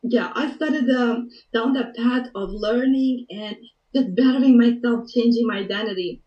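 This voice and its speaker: A woman from Bosnia tells her personal story as a guest, earnest and emotional and softly spoken. She sits some distance from the mic in a roomy space. The sound is dull and muffled. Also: a little high, normal speed. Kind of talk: personal story on a talk show.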